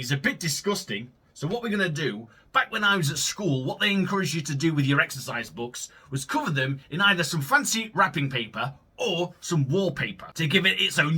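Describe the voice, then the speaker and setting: A man's voice: a little high, sharp tone, husky, recorded from a distance. A man playing an AI story game who jokes theatrically and swears freely. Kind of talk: casual, profane let's-play commentary.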